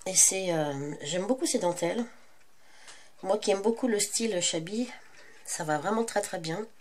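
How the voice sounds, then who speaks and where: A woman's voice: medium pitch, moderate pace, a little mellow, a little hiss or hum. A woman with a French accent hosting a crafting tutorial while she has a cold, talking casually and hesitantly, with many fillers and self-corrections. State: congested with a cold. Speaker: crafting channel host.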